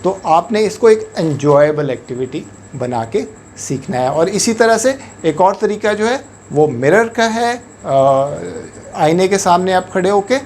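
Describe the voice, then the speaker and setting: Man explaining calmly. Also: high voice, a little hiss or hum. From Pakistan. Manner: measured, patient explaining, switching often into English words and phrases. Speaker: English language teacher. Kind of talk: instructional talk to camera.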